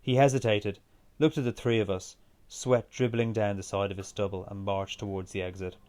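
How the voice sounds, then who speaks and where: A man's voice: lowish voice, fairly even intonation, normal speed, darkish tone. A man reading his own literary short story as scripted narration.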